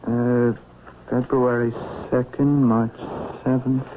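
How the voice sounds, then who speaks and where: A man's voice: mid-range voice, flat delivery, slow pace, slight background noise, close to an everyday voice. A man playing a nervous, deferential secretary who stammers and grows flustered and defensive in a vintage radio mystery. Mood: nervous, wary. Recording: tinny, band-limited old radio broadcast.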